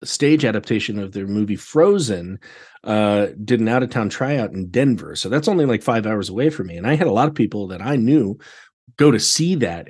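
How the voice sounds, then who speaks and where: A man with an American accent chatting casually as a podcast guest, animated, colloquial and emphatic. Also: medium pitch, slightly rough. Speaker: podcast guest, theatre director. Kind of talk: casual chat.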